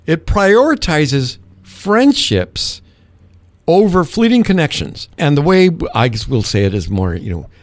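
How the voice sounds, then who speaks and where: A man's voice: fairly high pitch, big pitch swings, a little slow, dry recording. A man hosting a radio morning show, talking casually and interviewing a guest in a studio.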